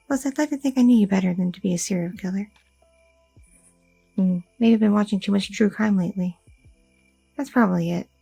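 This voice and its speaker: A woman's voice: medium pitch, creaky, mellow, breathy edge, no echo, low-quality recording. A young woman voicing an anxious goth girlfriend who rambles and second-guesses herself, in an ASMR audio roleplay. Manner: rambling, self-doubting, talks through her worries.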